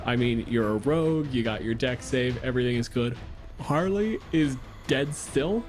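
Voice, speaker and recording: A man's voice: mid-range voice, expressive, normal speed, a little mellow. A man game master improvising tabletop narration in a casual, sweary way. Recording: music behind the voice. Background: music.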